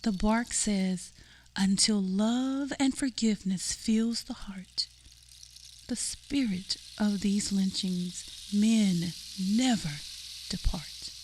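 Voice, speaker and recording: A woman giving a solemn, measured poetry reading in a radio theater production. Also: medium pitch, a little mellow, a little hiss or hum, poor audio. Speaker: poem reader.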